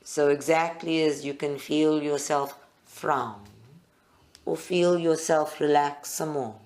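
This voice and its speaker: A meditation guide leads a live group session in short, deliberate phrases with pauses between them. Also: mid-range voice, steady tone, darkish tone.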